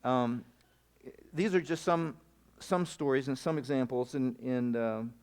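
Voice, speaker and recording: A man's voice: mid-range voice, measured pace, smooth. Rambling man telling a mission story. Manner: rambling, animated storytelling with many restarts. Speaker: missionary speaker. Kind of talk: storytelling sermon. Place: camp meeting hall.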